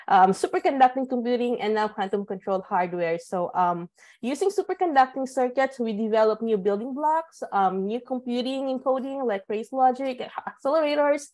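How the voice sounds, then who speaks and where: A woman's voice: fairly high pitch, darkish tone. A young woman from the Philippines, a researcher, introducing herself and her work informally at an online event. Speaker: postdoctoral researcher introducing themself.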